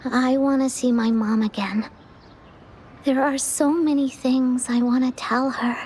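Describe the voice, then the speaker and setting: Timid, wistful child for an anime game; high-pitched, soft, slow, hesitant delivery. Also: female voice, steady tone, slight background noise, rough recording. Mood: wistful, sad. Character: timid, wistful young child missing a parent. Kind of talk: anime-style video game voice acting.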